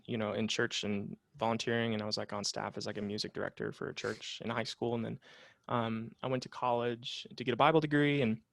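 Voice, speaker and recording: A man's voice: fairly low pitch, level tone, brisk, vocal fry, a bit bright, no room sound. A man in his late twenties, a psychotherapist, talking reflectively in a casual interview with plenty of fillers and restarts. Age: 20s. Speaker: psychotherapist guest.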